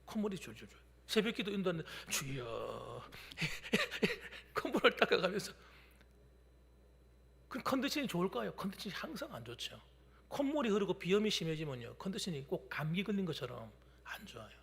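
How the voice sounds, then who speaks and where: A man's voice: high-pitched, very animated, normal speed, vocal fry. Emphatic male pastor in echoing church. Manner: emphatic preaching, swinging from loud declarations to hushed whispers. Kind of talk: sermon. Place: church sanctuary during a midweek service. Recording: long hall reverb from a church.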